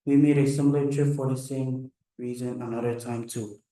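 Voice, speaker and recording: A man's voice: mid-range voice, little pitch movement, mellow, recorded from a distance. Teenage boy reading in an echoey hall. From Pohnpei, Micronesia. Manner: measured, careful reading with small restarts. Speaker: student storyteller. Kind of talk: reading a personal story aloud. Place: large room at a live event.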